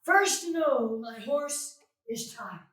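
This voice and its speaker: A woman's voice: sits high, lively intonation, extremely slow, fairly bright tone, heavily acted. A woman playing a weary, cheeky comic servant in a staged Shakespeare reading, exclaiming theatrically. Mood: cheeky storytelling. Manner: declamatory, exclaiming. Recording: very echoey room from far off.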